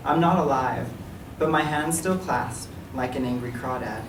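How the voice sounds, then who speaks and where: A man giving a measured, solemn reading of a literary piece before a live event. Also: mid-range voice. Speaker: writer reading own work. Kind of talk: literary reading, dramatic monologue in verse. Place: public reading in a library room. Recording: echoey room, distant mic, clear noise.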